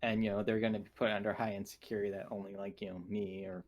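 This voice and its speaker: A man talking casually as a tabletop roleplaying player, planning in a halting, think-aloud way. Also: on the low side, little pitch movement, muffled recording.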